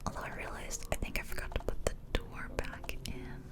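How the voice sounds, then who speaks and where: A woman whispering gently in a casual, rambling ASMR style, close to the microphone from ear to ear. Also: fairly quick. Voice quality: soft unvoiced whisper. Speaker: ASMR video creator and gamer. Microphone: close stereo ear-to-ear microphone.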